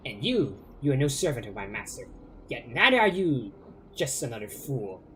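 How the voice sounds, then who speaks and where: A man voicing a grandiose, vengeful spirit that guards a ruined bunker, reading its lines in a slow, theatrical, declamatory way. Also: mid-range voice, very animated, a bit bright, a little hiss or hum, low-quality recording.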